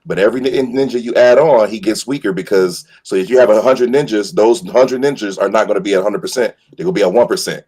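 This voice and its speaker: Man, low husky voice, slangy casual talk, animated, circles back over his phrases. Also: fast talker, few pauses. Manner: animated, slangy, repeats and rephrases himself. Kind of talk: casual fan chat about films and series.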